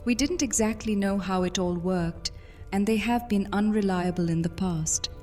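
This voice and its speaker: An actress playing an ancient vampire mistress and former master thief in an audio drama, speaking in a measured, formal, brooding way. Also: female voice, mid-range voice, dark tone, lightly acted. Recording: music under the voice.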